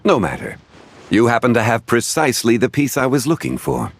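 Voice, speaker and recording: A man voicing a calm, courteous, learned gentleman in a video game, speaking in a measured, formal way. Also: mid-range voice, slight background noise. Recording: faint music behind the voice. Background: soft game music.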